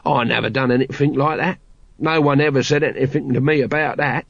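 A man's voice: mid-range voice, steady tone, brisk, creaky, dry recording, highs cut off. Man doing a seedy, grumbling old-hand watchman. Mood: evasive, wary. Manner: muttering, slangy, uneducated grumble. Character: seedy, cowardly, grumbling low-class veteran watchman. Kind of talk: audiobook character voice.

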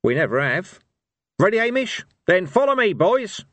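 Storybook role: male reader as old barbarian chief, blunt, hearty, carefree, raised and lively pitch. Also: normal speed, no echo, exaggerated voice. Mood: hearty, defiant. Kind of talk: audiobook character voice.

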